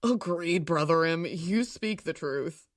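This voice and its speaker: Woman playing a slow-talking, sly laid-back vendor. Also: fairly low pitch, animated, no echo, clean studio sound. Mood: mellow. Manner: hesitant, slangy, confiding drawn-out talk. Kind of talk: science fiction audio comedy drama.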